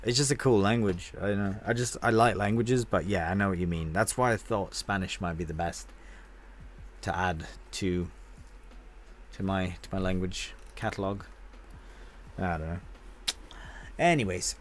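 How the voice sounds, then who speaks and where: A game streamer with a fairly low voice talks with viewers in a loose, rambling way. He answers chat with easygoing, friendly banter. Faint ambient noise sits behind him. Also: normal speed.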